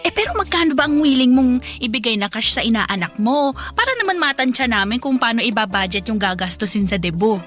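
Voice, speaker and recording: A woman's voice: a little high, expressive, a little fast, fairly bright tone, soft background music. An actress playing a pushy, money-grubbing middle-aged mother in a Filipino radio drama, nagging and brash with a Filipino accent. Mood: wheedling, pushy.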